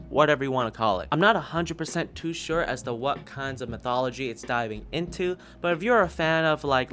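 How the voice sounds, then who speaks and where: A man with a mid-pitched voice talks like a casual YouTube reviewer recommending things he loves. He is emphatic and gushing and swears freely, with music playing under his voice. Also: fairly bright tone. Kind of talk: casual enthusiastic review, recommending audio dramas. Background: background music.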